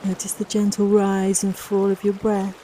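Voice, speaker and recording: A woman leading a guided relaxation meditation in a soft, soothing, unhurried, reassuring manner, with long pauses between phrases. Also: mid-range voice, completely flat delivery, a little hiss or hum, poor audio. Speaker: guided meditation and yoga nidra teacher.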